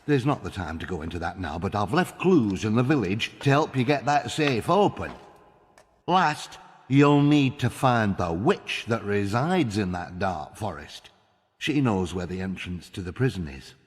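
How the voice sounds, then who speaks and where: Blustering Northern English mayor, swooping delivery. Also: male voice, on the low side, expressive, measured pace, breathy edge, big-room sound. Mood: confiding. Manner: dramatic, exclamatory, folksy dialect. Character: blustering, anxious Northern village mayor, fond of pies. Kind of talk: video game cutscene acting.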